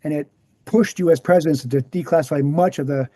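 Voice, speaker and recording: Actor reading as confident guy lecturing, very mellow husky voice, table read. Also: fairly high pitch, brisk, no room sound, close to an everyday voice. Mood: assured. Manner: didactic, assured. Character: Gary, confident explanatory man debunking assassination myths. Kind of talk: scripted dialogue, lecturing explanation.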